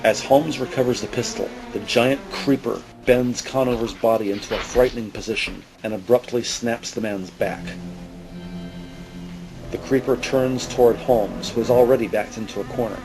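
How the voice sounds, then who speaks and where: A man narrating a scripted audio drama in a measured, dramatic manner. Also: medium pitch, steady tone, music in the background, rough recording.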